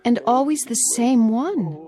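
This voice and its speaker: An actress playing a caring, anxious young granddaughter in an audio drama, speaking with gentle concern. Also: female voice, fairly high pitch, animated, unhurried. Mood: worried, subdued.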